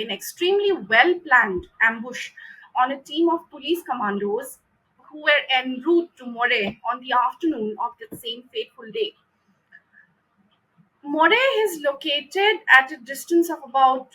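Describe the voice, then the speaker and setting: High, bright-voiced woman commentator. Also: slightly rough, low-quality recording. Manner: formal, emphatic, repeats phrases for stress. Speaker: commentator presenting own research. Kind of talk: prepared political commentary, spoken monologue.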